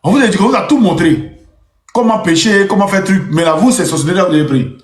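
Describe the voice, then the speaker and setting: A man moderating an online debate room, briskly passing the floor to speakers. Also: high-pitched, echoey room.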